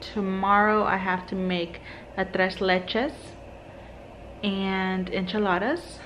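Slow, chatty young woman vlogging at home. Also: medium pitch, steady tone, a bit bright, a little hiss or hum. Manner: chatty, talking to camera at arm's length; sing-song baby talk to her toddler. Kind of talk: casual home cooking vlog. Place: home kitchen and living room, indoors. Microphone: handheld camera at arm's length.

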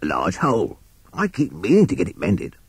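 A man voicing a reckless, scruffy, cheeky rat in a children's story, gruff and offhand in manner. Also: low voice, huge pitch swings, normal speed, no room sound, heavily acted.

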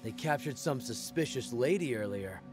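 An actor playing a gossipy army soldier on guard in a video game. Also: male voice, mid-range voice, lively intonation, moderate pace, music in the background, lightly acted. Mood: gossiping. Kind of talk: video game cutscene acting.

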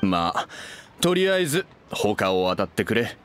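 A man's voice: lowish voice, very animated, a little slow, a bit bright. A man playing a busy, coolly polite young man in an anime game, offhand and dismissive. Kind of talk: Japanese anime game voice acting. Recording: faint background noise and ambience.

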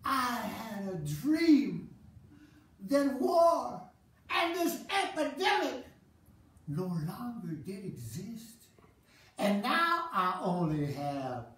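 A woman's voice: fairly high pitch, big pitch swings, voice pushed down, exaggerated voice. Woman doing a peace-preaching Rasta man. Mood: visionary. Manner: loud, hearty, preaching, slow and drawn out. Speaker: festival performer. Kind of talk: comic stage monologue, visionary speech. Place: outdoor festival stage. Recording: echoey stage sound from a distant mic.

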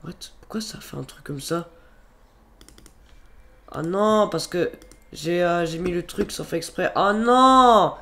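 Jeune homme enrhumé, tutoriel décousu. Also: male voice, high-pitched, unhurried, long pauses, pushed and bright. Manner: rambling, many fillers and restarts. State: has a cold. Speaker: tutorial youtuber. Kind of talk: casual screen-recorded tutorial. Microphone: computer microphone.

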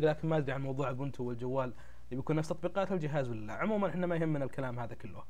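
Very fast-talking young Gulf Arabic man, congested. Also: on the high side. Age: young adult. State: congested, changed voice from a tired throat. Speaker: YouTube tech channel host. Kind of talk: casual explainer talk to camera. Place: small indoor room at a desk, webcam recording.

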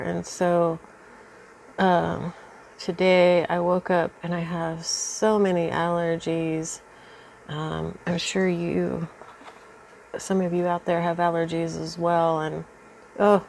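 A woman's voice: lowish voice, flat delivery, takes it slowly, gravelly, darkish tone, slight background noise, low-quality recording. Congested woman chatting over beading. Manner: chatty, thinks aloud with many ums. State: congested from allergies. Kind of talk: casual crafting tutorial. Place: indoors at a craft table.

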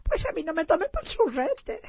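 Woman acting high-pitched, indignant immigrant tenement woman. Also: sing-song, moderate pace, no echo, dull audio, heavily acted. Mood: angry. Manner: scolding, exclamatory. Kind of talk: comic stage radio play acting.

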